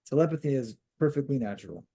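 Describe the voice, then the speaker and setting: A man reading the part of a calm, insistent woman guide from a novel, in an instructive, assured way. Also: medium pitch, quick pace, breathy edge. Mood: calmly instructive.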